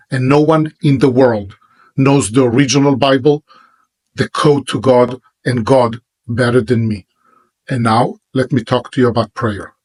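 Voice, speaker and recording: A man with an Israeli accent, a native Hebrew speaker, preaching about prayer in an emphatic monologue with pauses between phrases. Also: medium pitch. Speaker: religious teacher. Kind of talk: monologue sermon.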